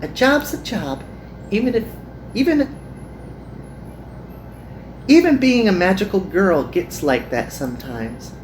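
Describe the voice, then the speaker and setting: A woman voicing a kind, earnest young magical girl in a visual novel, with gentle and formal phrasing. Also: medium pitch, very animated, slow pace, long pauses, a little hiss or hum. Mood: sympathetic.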